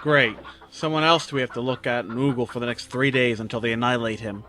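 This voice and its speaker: A man playing a gruff, impatient farm overseer in an audio drama, curt and grumbling. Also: mid-range voice, fairly even intonation, fast, bright, forceful tone, a little hiss or hum, lightly acted. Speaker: voice actor.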